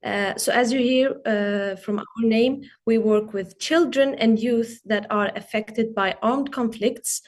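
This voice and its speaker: A young adult woman from Syria giving a prepared speech as a guest speaker, hesitant, with frequent pauses and ums. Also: a little high. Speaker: youth coordinator and guest speaker. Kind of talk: prepared speech at an online forum.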